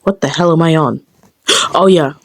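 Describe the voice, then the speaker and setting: Woman rambling casually, lowish voice. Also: moderate pace. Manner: rambling, talks to herself. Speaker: creator dubbing a comic. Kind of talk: casual behind-the-scenes chat.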